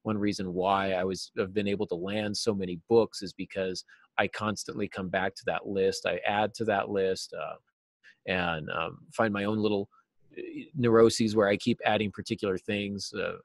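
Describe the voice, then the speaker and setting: Low-voiced middle-aged man, casual and explanatory. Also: steady tone, moderate pace, dry recording. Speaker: interview guest, novelist and writing teacher. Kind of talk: casual interview conversation about craft. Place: small cluttered office, indoors. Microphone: video call, seated at a desk.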